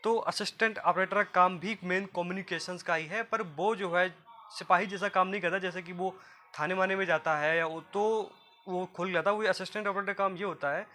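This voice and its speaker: A man's voice: sits high, fairly even intonation, fairly quick, sharp tone, slight background noise. A man from gives an informal, repetitive explainer to viewers as a job guidance presenter. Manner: repetitive, explanatory, often addressing listeners as friends.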